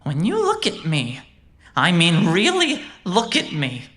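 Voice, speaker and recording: Swooping, slow, manipulative temptress. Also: female voice, lowish voice, huge pitch swings, fairly bright tone, exaggerated voice. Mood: coaxing, intent. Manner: deliberate, persuasive. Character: coaxing, manipulative female captor. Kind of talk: cyberpunk audio drama acting.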